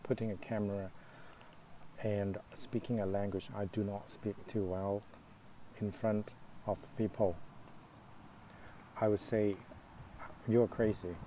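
A man's voice: lowish voice, level tone, warm tone, slight background noise, low-quality recording. A man in his forties whose first language is Cantonese, giving a simple, earnest Bible lesson for children. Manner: earnest, simple and slow-paced. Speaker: Sunday school teacher. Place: outdoors in a park and a living room.

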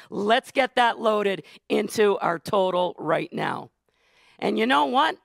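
A Canadian man with a high, strained voice emcees a charity auction, hoarse and raspy from hours of talking. He rattles off donor names and totals with relentless hype. The sound is close and dry, from a studio stage. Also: fairly bright tone, no room sound. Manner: rapid hype, calling out donors. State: hoarse, losing voice. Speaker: gala auctioneer and host. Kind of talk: high-energy live fundraising emcee. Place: studio stage with blue curtains.

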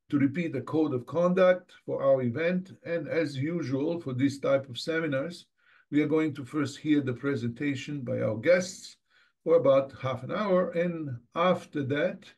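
A man's voice: on the high side, gravelly, a little mellow, husky. Middle-aged man moderating a seminar. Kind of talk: scripted formal introduction. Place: indoors, office, on a video call.

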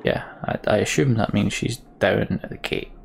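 A man's voice: lowish voice, normal speed, creaky, a little hiss or hum. A man hosting a let's play, reading game text aloud and commenting casually with dry asides.

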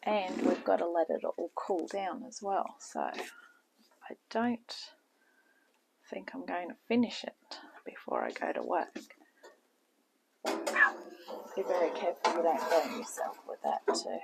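A woman's voice: fairly low pitch, very animated, slow, vocal fry, long pauses. A middle-aged woman, chatting casually while she cooks in her home kitchen, often trailing off into muttering. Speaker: home cooking channel host. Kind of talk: casual cooking tutorial. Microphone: camera on the counter. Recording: noisy home kitchen recording.

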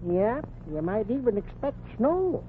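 A man's voice: very high voice, sing-song, full character voice. A folksy bus ticket clerk in a 1940s radio comedy. His manner is dry and chatty. The old recording is very tinny, with slight noise. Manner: folksy, unhurried small talk.

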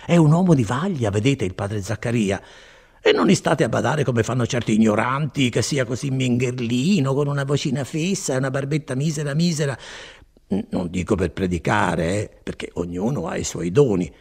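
A man's voice: medium pitch, lively intonation, moderate pace, vocal fry, a little mellow, husky. A man voicing a folksy, placid friar who rambles on consolingly in an audiobook story. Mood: coaxing. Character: chatty, placid old-fashioned friar, folksy and evasive. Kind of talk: dramatized audiobook reading.

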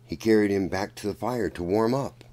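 A man reading a children's story aloud in a deliberate, expressive storytelling manner. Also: on the low side. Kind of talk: read-aloud storybook narration.

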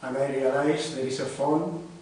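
A man's voice: mid-range voice, steady tone, normal speed, a little mellow, lightly acted. Guy reading as wisecracking young man, spanish accent, bit far off, echoey amateur read. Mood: storytelling. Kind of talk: amateur dramatized script reading. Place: wooden-floored room indoors. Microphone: far camera. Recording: echoey room, distant mic, faint noise.

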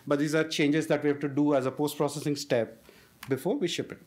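A young man presenting a technical talk on a conference stage, relaxed and joking, with many fillers. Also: on the high side, brisk. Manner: casual, self-deprecating humor with frequent fillers. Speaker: conference speaker.

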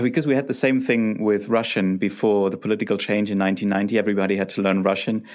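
A man's voice: fairly low pitch, a little mellow. A man hosting a podcast interview, curious and conversational, with occasional restarts. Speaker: podcast host.

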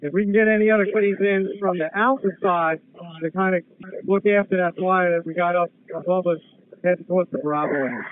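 Male fire operations officer, high voice, urgent and procedural, heard over a narrow radio channel. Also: brisk. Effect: two-way radio. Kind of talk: emergency radio traffic. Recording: narrow tinny radio channel with noise and clicks.

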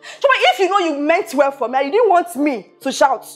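A woman's voice: sits high, animated, brisk, faint music behind. An actress playing a haughty, hot-tempered rich woman who scolds and repeats her questions emphatically in a film drama. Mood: angry, indignant. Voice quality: loud, shouting when angered. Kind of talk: low-budget film drama acting.

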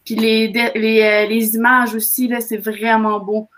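A young woman with a slightly high voice and a Québécois French accent. She speaks casually, as one of a panel on a video call, from a room at home. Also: little pitch movement, measured pace, fairly bright tone. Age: young adult. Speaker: talk show panelist. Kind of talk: casual chat. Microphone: computer mic on a video call.

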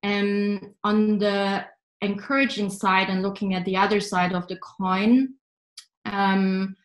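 A woman in her twenties from Germany speaks into her laptop webcam in an interview. Her pitch sits in the middle, and she talks in a measured, thoughtful way with many ums. The room around her sounds roomy and echoey. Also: level tone. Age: 20s. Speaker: interview guest, investor. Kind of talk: answering interview questions. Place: home room by a window.

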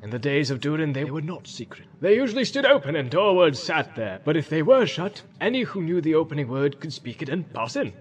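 Stern old wizard, declamatory, quick-tempered, raised pitch, husky edge, storybook fantasy. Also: male voice, big pitch swings, soft background music. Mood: solemn. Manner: declamatory, forceful delivery.

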